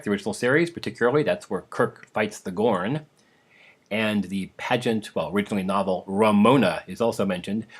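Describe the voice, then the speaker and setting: A man's voice: fairly low pitch, husky. A man hosting an old-time radio podcast, narrating a scripted introduction in an explanatory way with casual asides.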